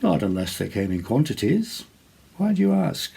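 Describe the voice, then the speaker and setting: Man acting a composed lady with lifted pitch. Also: very animated, normal speed, vocal fry, mellow, husky, heavily acted. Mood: amused, unruffled. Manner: polite, matter-of-fact, lightly teasing. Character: composed, politely amused lady train passenger.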